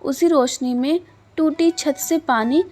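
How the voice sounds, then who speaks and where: A woman narrating a Hindi cartoon story in a gentle, measured, moralizing style. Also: high voice, soft background music.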